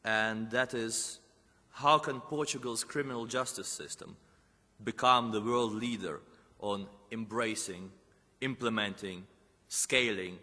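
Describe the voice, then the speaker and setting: Guy finishing his conference talk, polite, bright lowish voice, echoey hall. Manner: courteous, rhetorical. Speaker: conference speaker. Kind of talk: closing a conference presentation.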